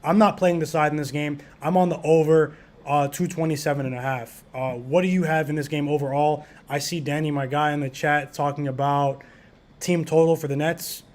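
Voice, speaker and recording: A man's voice: fairly high pitch, fairly even intonation, normal speed, a little mellow. A man hosting a sports betting podcast, easygoing and full of fillers, reading out lines and chat comments. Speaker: podcast host.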